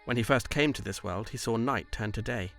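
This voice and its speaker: A man reading scripted story narration in a measured, literary delivery. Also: mid-range voice, vocal fry, a bit bright, faint music behind.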